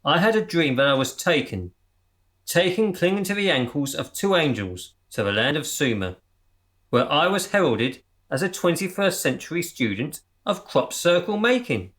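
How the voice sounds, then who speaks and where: A man's voice: a little high, sing-song, normal speed, fairly bright tone. Pompous, swooping male crop-circle crew leader played by an actor. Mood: solemn, confessional. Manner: pompous, impassioned speechifying. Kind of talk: radio comedy drama acting.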